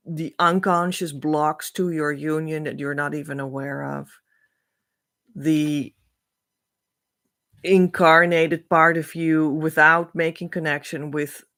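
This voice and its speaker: Slow-speaking woman teacher. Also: sits low, a bit bright. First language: Dutch. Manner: earnest, reassuring, unhurried. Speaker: spiritual teacher. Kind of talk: spiritual teaching talk.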